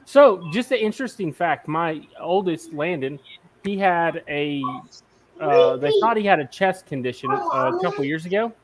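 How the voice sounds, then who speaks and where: A man's voice: high-pitched, very animated, moderate pace. A middle-aged man hosting a casual live chat, joking and laughing often as he talks. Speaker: livestream host.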